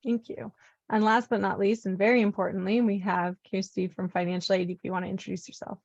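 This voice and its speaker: A woman moderating a student presentation, briskly and brightly passing the floor from speaker to speaker. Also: medium pitch, flat delivery, gravelly, no echo, lo-fi sound. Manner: brisk, upbeat, hands the floor around.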